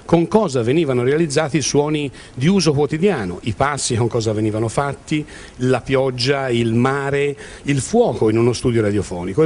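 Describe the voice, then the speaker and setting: A man's voice: medium pitch, a little mellow. A man gives informal interview answers into a handheld microphone, sometimes repeating words as he searches. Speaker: theatre company member being interviewed. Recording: clearly noisy room ambience.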